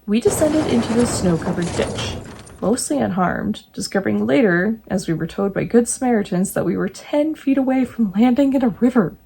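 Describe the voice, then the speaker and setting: A woman reading true ghost stories aloud, breaking in now and then with jokey, animated asides. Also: mid-range voice, moderate pace, creaky, a little hiss or hum, low-quality recording. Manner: animated, jokey asides between read passages.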